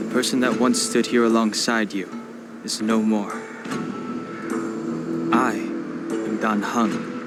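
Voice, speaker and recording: An actor playing a reserved young male exile who speaks quietly and firmly. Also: mid-range voice, lightly acted. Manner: quiet, restrained, firm. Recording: game dialogue over loud music.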